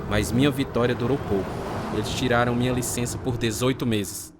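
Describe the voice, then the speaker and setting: A man's voice: medium pitch, steady tone, a little fast, very noisy, natural voice. A man acting as a volatile, embittered heavy drinker who tells his own story in a dramatised radio narration. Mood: grave, bitter. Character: bitter, volatile hard-drinking husband telling his story. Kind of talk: dramatised first-person scripted narration. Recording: loud guitar music behind the voice.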